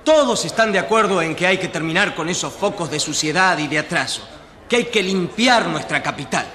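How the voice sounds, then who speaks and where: High-voiced, well-informed newspaperman. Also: expressive, a little fast, sharp tone. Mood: conversational. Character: well-informed, explanatory male newspaper journalist. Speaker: newspaper journalist. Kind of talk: radio drama acting, conversational. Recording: reverberant hall sound.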